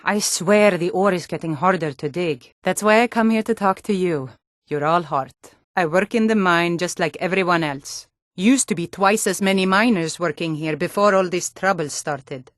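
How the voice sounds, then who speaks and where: Woman acting a tough nord warrior lady for a fantasy game, nordic accent, lowish voice, firm and blunt, very dry recording. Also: normal speed, no room sound, natural voice. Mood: plainspoken. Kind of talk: fantasy video game dialogue.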